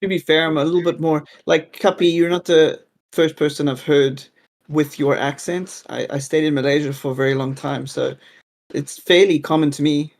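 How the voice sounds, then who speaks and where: A man's voice: a little high, fairly even intonation, normal speed. Easygoing man chatting in voice call. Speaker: raid group member. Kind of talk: casual voice chat while gaming.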